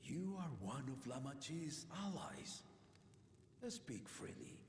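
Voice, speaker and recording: Aging king, slow, stately and creaky. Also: medium pitch, wildly swooping pitch, a little hiss or hum, heavily acted. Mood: inviting. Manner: stately, measured. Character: aging genial king and doting father yielding his throne. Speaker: game character. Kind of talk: scripted game dialogue. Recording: game audio with echo and loud music.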